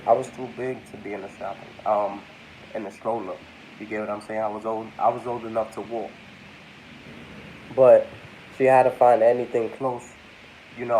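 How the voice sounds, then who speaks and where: Young man, stuffy and a bit under the weather, mellow tone, loose rambling vlog chat, faint hiss. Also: lowish voice, poor audio. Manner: rambling, addresses viewers as y'all. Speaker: vlogger. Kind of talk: casual talk to camera.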